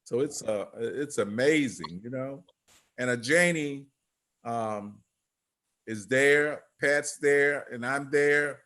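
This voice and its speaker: An older man giving informal remarks on a group video call in a halting, rambling way. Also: medium pitch, fairly bright tone. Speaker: theatre company leader.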